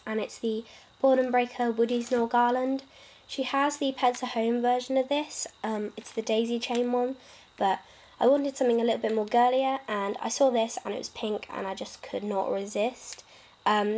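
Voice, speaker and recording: A woman's voice: on the high side, darkish tone. A woman vlogging at home, chatting casually and enthusiastically with frequent "um" and "like", her voice still affected by a cold. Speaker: pet vlogger. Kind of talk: casual haul video.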